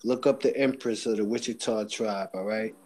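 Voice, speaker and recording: A man's voice: lowish voice, fairly even intonation, normal speed, darkish tone. Insistent, emphatic man in a heated debate. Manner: insistent, emphatic, repeats himself. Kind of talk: heated informal group debate.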